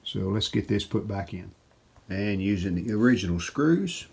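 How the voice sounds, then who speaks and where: A man narrating a hands-on restoration how-to in a casual, plainspoken way, often pausing mid-sentence. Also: fairly low pitch, lively intonation, creaky, a little mellow, slight background noise. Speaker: host of a restoration how-to video.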